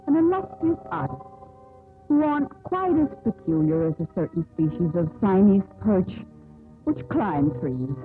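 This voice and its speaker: Arch, lively young woman narrator. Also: medium pitch. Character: wry young woman narrating her sea adventure. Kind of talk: scripted radio narration. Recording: tinny 1950s radio broadcast with music.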